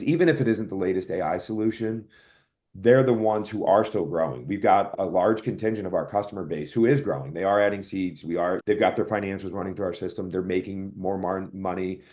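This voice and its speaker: Low-voiced man explaining business analytically. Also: dark tone. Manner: self-correcting, thinking aloud, analytical. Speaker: podcast guest, software product executive. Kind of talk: conversational expert explanation in an interview.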